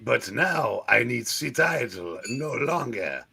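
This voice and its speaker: Pompous, melodramatic French master thief. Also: male voice, medium pitch, big pitch swings, slow, creaky, sharp tone, slightly rough, heavily acted. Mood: grandiose scheming. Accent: mock French. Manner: melodramatic, grandiose, swinging from menace to exclamation. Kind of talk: hammy comic-book villain read aloud.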